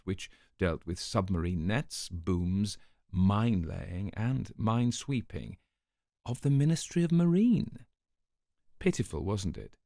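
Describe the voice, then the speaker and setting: A man reading a scripted spy story as a storyteller, in a wry, dryly mocking and measured manner. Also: lowish voice, warm tone, no room sound, studio quality. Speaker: storyteller narrating a spy tale. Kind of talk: dramatic scripted narration.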